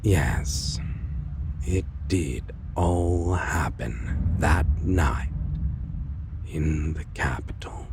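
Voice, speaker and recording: A man playing a brooding, world-weary vampire lover in a dark fantasy audio roleplay, speaking intimately in halting, old-fashioned phrases with a low, breathy, gravelly voice. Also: noisy background, exaggerated voice. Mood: rueful, confessional.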